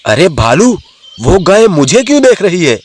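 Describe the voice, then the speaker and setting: High-pitched brave young lion, played by a man. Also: sing-song, measured pace, husky, full character voice. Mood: curious. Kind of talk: Hindi animal storybook voice acting.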